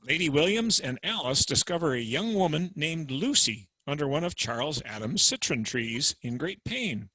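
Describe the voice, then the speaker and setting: Man giving quick plot summaries, bright but a bit husky, dry zoom sound. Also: on the high side, sing-song, no room sound, poor audio. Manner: brisk, plain summarizing. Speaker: narrator summarizing scenes. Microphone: video call. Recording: dry video call audio.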